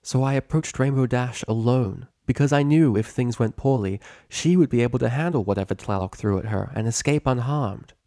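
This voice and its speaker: A man's voice: medium pitch, fairly quick, few pauses, warm tone, dry recording, clean studio sound, pitched above the performer's own voice, close to an everyday voice. A man voicing a stern, regal female pony ruler and mentor in a story reading. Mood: firm, worried. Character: stern regal mare princess, mentor ruler, angry then motherly.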